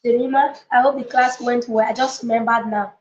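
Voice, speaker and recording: A woman's voice: a little high, fairly even intonation, fairly quick, darkish tone. A woman coach leading an informal motivational live lesson, speaking emphatically, repeating key phrases and checking in with her listeners. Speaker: coach and book club leader hosting a live session. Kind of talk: motivational live teaching with informal chat to viewers. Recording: echoey room, slightly dull lo-fi audio.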